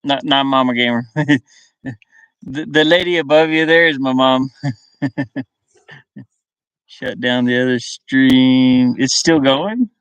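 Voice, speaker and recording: Middle aged guy just chilling on a livestream, talks slow, kinda mutters to himself, dry room sound. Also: medium pitch, fairly even intonation, a bit bright, smooth, no room sound, lo-fi sound. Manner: rambling, muttering to himself in short broken phrases. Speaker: livestream host. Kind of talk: casual live chat with viewers. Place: inside a van. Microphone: webcam.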